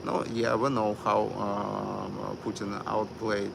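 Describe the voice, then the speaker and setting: Gravelly man, halting stream talk. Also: on the low side, completely flat delivery, noisy background. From United States. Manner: hesitant, many fillers, non-native grammar. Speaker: livestream host. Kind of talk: live-stream commentary.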